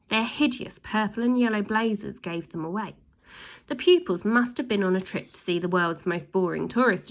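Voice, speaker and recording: A woman narrating a children's book aloud in an animated storytelling style. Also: fairly low pitch.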